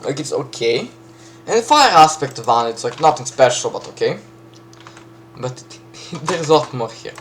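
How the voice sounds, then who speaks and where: A man with a Serbian accent casually walking through a game map he built, chatty, full of fillers and quick self-corrections. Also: medium pitch, lively intonation, a little hiss or hum. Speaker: map creator giving a tour. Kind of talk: casual gameplay walkthrough.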